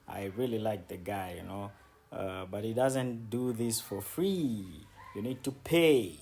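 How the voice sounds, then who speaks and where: A man's voice: on the low side, measured pace, darkish tone. A man giving casual, conversational travel commentary as he guides viewers around, often repeating words for emphasis. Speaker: travel vlogger and city guide. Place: outdoors on city streets. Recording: background noise, outdoor street ambience.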